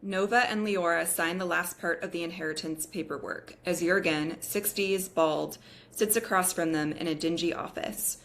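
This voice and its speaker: Female narrator for a screenplay table read, slightly low register, delivering scene headings and action. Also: normal speed, gravelly, fairly bright tone, slight background noise. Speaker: reader of stage directions.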